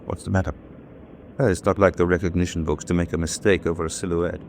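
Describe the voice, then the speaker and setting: Cool submarine first officer, low voice, measured, precise, formal, quietly skeptical, radio drama, noisy background. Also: male voice, little pitch movement. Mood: observant, concerned. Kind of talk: old-time radio war drama acting.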